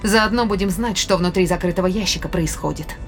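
An actress playing a brisk, curious spaceship scientist with a dry, commanding manner in a science fiction audio drama. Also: female voice, lowish voice, soft background music, natural voice. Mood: dry, probing.

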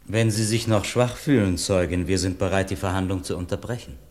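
A man playing an authoritative presiding judge in a radio crime play, formal, measured and commanding. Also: low-pitched, fairly even intonation, darkish tone, close to an everyday voice. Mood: formal, probing.

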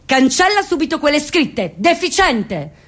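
Woman as scolding mother, nagging, suspicious, high-pitched, indignant, stage play. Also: big pitch swings, unhurried. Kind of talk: theatrical monologue.

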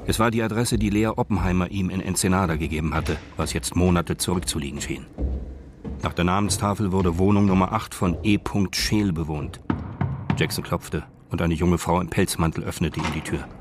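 A man narrates a crime radio drama in a very low voice. He reads scripted prose as a storyteller, over a clearly noisy background. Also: normal speed.

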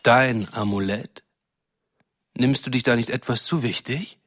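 Man acting a coldly menacing, smooth-talking villain. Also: mid-range voice, a little slow, a bit bright, a little hiss or hum, natural voice. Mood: condescending. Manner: smooth-talking, taunting, threatening. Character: menacing, condescending male shapeshifter villain, coldly cruel. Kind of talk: German radio drama acting.

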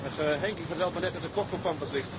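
An actor playing a dutiful, practical first mate in a seafaring radio drama, matter-of-fact and respectful. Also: male voice, fairly high pitch, racing, heavy background noise, close to an everyday voice. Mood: businesslike.

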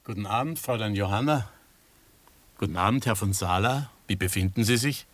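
An actor playing a proud, principled doctor in a German radio drama, speaking with formal, dry politeness. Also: male voice, lowish voice, a little fast, natural voice. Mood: politely reserved.

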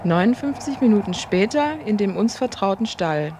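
A woman's voice: mid-range voice, expressive, moderate pace, noisy background, lightly acted. A woman narrating a comic radio play as a scripted story. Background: music.

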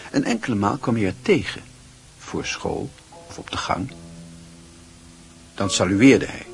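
A man's voice: lowish voice, creaky. A man giving scripted literary narration for a Dutch radio play.

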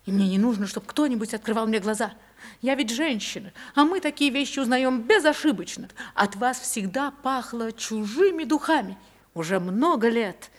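High-voiced, proud, wronged wife. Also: female voice, expressive, normal speed. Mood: cold, bitter. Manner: haughty, dramatic, intense. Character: proud, wronged wealthy wife, defiant and emotional. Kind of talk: radio play acting.

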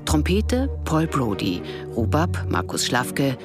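A man announcing radio drama credits in a measured, formal, scripted way. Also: medium pitch, huge pitch swings. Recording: prominent instrumental music under voice. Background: instrumental music.

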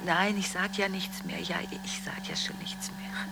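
An actress in a radio drama playing a frantic, easily unsettled woman on telephone calls, speaking in halting, repetitive fragments. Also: medium pitch, level tone, gravelly. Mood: subdued, dreamy. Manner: breathless, repeats words, broken halting phrases, swings between whispers and outbursts. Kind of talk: radio drama acting, one-sided telephone monologue. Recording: hissy studio radio drama recording.

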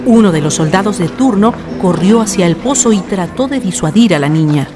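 A woman's voice: mid-range voice, lively intonation, a little fast. A woman narrating a scripted radio drama in a literary storytelling style. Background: guitar music.

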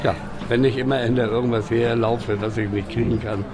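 A man's voice: mid-range voice, steady tone, a little fast. A man in his sixties talking informally at a bar, plain-spoken and blunt, as an interviewed patron. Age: 60s. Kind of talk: casual, informal conversation. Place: at a bar counter. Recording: loud music behind the voice.

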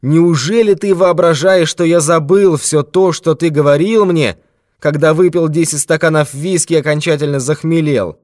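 A man voicing a brutal, mocking red-haired robber who shouts threats in a raised, forceful voice. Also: fairly high pitch, normal speed, dry recording, studio quality, pitched above the performer's own voice, close to an everyday voice. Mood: threatening.

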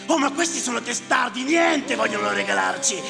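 A man's voice: extremely high pitch, animated, normal speed, gravelly, exaggerated voice. An actor playing a jeering, extorting male soldier in a stage monologue, taunting in a raised voice. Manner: taunting, shouted. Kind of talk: theatrical monologue. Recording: reverberant hall, music behind.

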